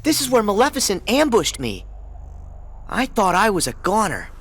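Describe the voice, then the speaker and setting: Earnest teenage boy hero, very expressive. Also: high voice, moderate pace, exaggerated voice. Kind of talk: video game cutscene voice acting.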